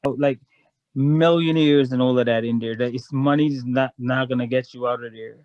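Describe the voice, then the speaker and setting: A man's voice: medium pitch, steady tone, moderate pace, dry recording, lo-fi sound. A man from Guyana, a guest in a casual chat, telling a long story in a frank, rambling way.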